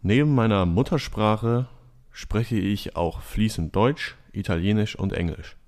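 A young man hosting a podcast quiz, reading out clues in a calm, measured way. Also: lowish voice. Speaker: quiz host.

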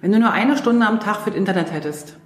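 A woman from East Germany hosts a relaxed conversational interview in a playful, teasing manner. Her voice sits at a medium pitch. The room adds a clear echo, and faint music is present. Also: fast talker. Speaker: podcast host. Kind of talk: casual interview chat.

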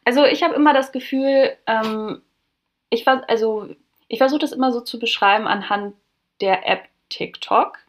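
A woman's voice: a little high, normal speed. A woman in her twenties co-hosting a podcast, joking with self-mocking irony and laughing often. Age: 20s. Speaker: podcast co-host. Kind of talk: casual chat.

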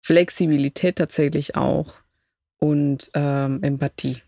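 Unhurried woman from Ecuador. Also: low-pitched, fairly even intonation, vocal fry, dark tone, dry recording, studio quality. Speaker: podcast guest. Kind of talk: casual chat. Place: inside a camper van.

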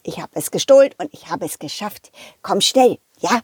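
A woman's voice: medium pitch, animated, moderate pace, slightly rough, no room sound, studio quality. A woman playing a furtive monkey thief in a children's audio story, talking urgently in short clipped phrases. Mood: furtive urgency.

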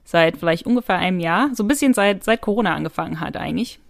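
A woman's voice: on the low side, quick pace, vocal fry, dry recording. Woman co-hosting, dry close sound. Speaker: podcast co-host. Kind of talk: casual chat.

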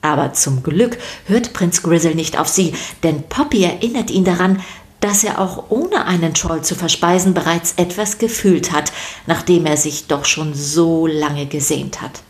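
Low-voiced woman telling stories for children. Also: a little slow. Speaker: podcast host and story narrator. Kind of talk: scripted narration for a children's podcast.

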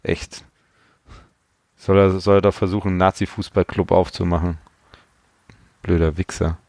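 A man's voice: low-pitched, little pitch movement, moderate pace, darkish tone, dry recording, studio quality. A man on a podcast panel, talking in casual chat.

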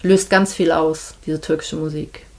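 A woman speaks with a fairly low voice in a casual conversation. She talks thoughtfully, often pausing and hesitating before she finds her words. She comes across as an easygoing interview guest. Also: fairly even intonation. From Germany, Hamburg. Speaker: midwife, podcast guest.

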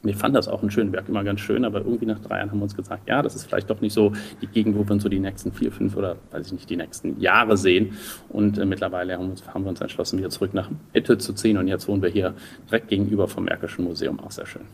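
A man's voice: on the low side, flat delivery, rapid-fire, creaky, a little hiss or hum. A man in his thirties from the German Rhineland, answering interview questions conversationally in a thoughtful way, searching for words. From Düsseldorf, Rhineland, Germany. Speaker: interview guest, entrepreneur.

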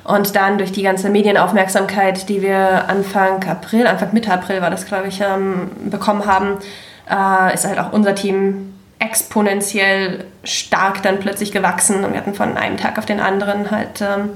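A woman's voice: medium pitch, flat delivery, normal speed. A woman in her thirties from Berlin, talking in a chatty, frank and self-mocking way as a guest in a casual chat. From Berlin, Germany. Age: 30s. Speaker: party volunteer guest. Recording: roomy echoey room.

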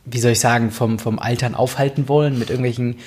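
A young man co-hosting a chatty podcast. He rambles in a relaxed way, stacking fillers and restarts as he thinks aloud. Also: mid-range voice, fast. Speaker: podcast co-host. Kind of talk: casual chat.

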